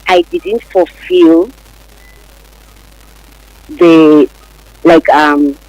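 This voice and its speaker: A young woman giving personal testimony as an interview guest, speaking haltingly and politely. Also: low voice, steady tone, a little mellow, no room sound, rough recording. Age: young adult.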